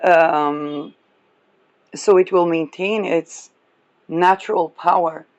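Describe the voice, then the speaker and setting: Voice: mid-range voice, steady tone, takes it slowly. A person giving a casual, rambling astrology talk, chuckling often between remarks. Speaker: astrology reader.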